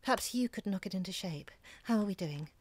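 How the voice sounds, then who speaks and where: An actress playing a frank, hurried woman writer voicing a chatty, self-deprecating message in an audio drama. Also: mid-range voice, animated, no room sound, close to an everyday voice. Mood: harried, chatty.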